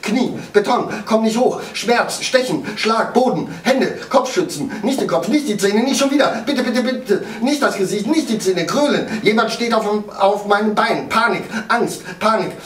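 Guy acting out a freaked-out young dude running for his life, high strained voice, clipped gasping bits, echoey far-off stage sound. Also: lively intonation, moderate pace, hardly any pauses, slight background noise. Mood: fearful. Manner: breathless clipped inner monologue, frantic. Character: panicked young man fleeing a beating. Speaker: actor. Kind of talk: stage monologue.

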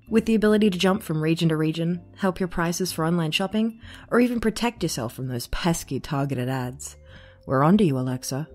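A woman with a fairly low voice delivers a scripted sponsor read. She speaks as a channel host, with faint music playing behind her. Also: darkish tone. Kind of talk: scripted promotional narration.

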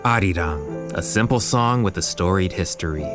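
A solemn storyteller narrates a romantic audio drama in a very deep voice. The delivery is poetic, over loud music. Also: male voice, animated, normal speed. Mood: solemn, lyrical.

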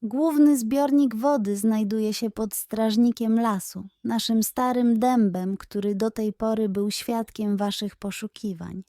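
A wise, reassuring pig detective from a children's bedtime story, played by a woman. He speaks slowly and persuasively, in a soft, mellow voice that sits fairly high for such a character. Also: fairly even intonation, no room sound, clean studio sound. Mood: earnest, explanatory.

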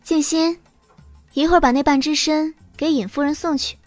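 A woman playing a spirited, sharp-witted young princess consort in an audio drama, breezy and defiant. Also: sits high, steady tone, normal speed, no room sound, soft background music, natural voice. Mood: breezy, placating. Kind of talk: audio drama acting in Mandarin.

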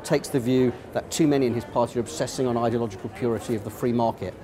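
A middle-aged man reporting on location at a conference venue, in a dry, measured analytical way. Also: mid-range voice, crowd noise. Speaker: political commentator and reporter. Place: busy conference venue indoors.

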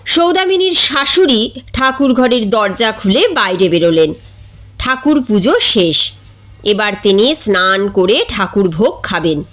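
A woman reading a comic story aloud in an expressive, dramatised way. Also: high voice. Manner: expressive, acts out characters' dialogue. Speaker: story reader.

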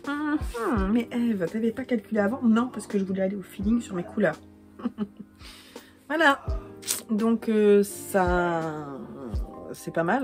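A woman's voice: medium pitch, darkish tone. A woman in her thirties with a cold, chatting casually and self-mockingly to camera at home about her knitting, with lots of hesitations. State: has a cold. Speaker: knitting vlogger and yarn dyer. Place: small room at home, indoors.

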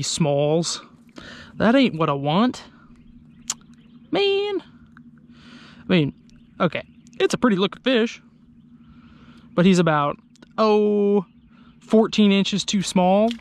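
Excitable young man fishing outdoors. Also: high voice. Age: young adult. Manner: chatty, excitable when fish bite. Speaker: fishing vlogger. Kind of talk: casual outdoor vlog commentary. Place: small boat on a lake outdoors. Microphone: action camera on the boat.

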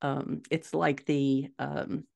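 American woman, middle aged, low voice, chatting on a podcast and working things out as she goes. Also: level tone, slow, creaky, a little mellow. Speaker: guest author. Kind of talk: casual podcast interview. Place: home room, indoors. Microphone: video call, desktop mic.

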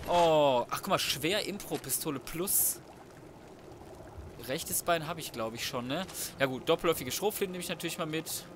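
A man's voice: fairly high pitch, moderate pace, noisy background. A man giving casual, slangy and crude commentary on a video game, talking to himself as he plays. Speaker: let's play gamer.

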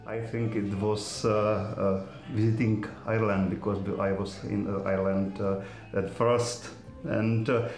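A man in his sixties answers haltingly as a non-native English speaker. His fairly low voice stays flat, in an echoey office with music behind. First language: not English. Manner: halting, repeats words. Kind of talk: interview answer.

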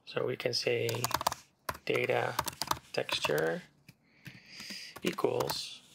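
Man with a gravelly voice explaining code. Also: medium pitch, very slow, heavy background noise. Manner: relaxed, step-by-step explaining while typing. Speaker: game development tutor. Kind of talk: screen-recorded coding tutorial. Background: keyboard typing and mouse clicks.

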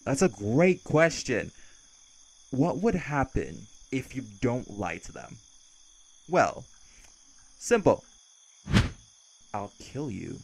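A man's voice: medium pitch, very animated, takes it slowly, pauses often, full character voice, poor audio. A man playing a cheerful, cocky vampire who teases, flirts and casually threatens in an intimate audio roleplay. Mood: cheerful menace.

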